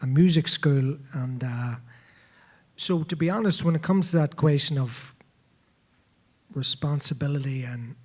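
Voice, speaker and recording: Modest, relaxed man piper. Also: a little high, measured pace, long pauses. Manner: relaxed, modest, stammering starts. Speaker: Irish piper, panel guest. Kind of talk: casual panel conversation.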